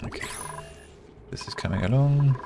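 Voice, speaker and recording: A man's voice: mid-range voice, moderate pace, vocal fry, loud music behind the voice. A middle-aged man with a South African accent, chatting with his live chat as he plays a colony-building game. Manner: relaxed, chatty, talks to himself while building. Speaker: game streamer. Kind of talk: live gameplay commentary with chat.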